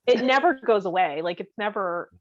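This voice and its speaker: A woman chatting casually in a candid, animated, joking way. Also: mid-range voice, moderate pace, slight background noise. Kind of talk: casual chat. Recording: close, dry, slightly dull audio.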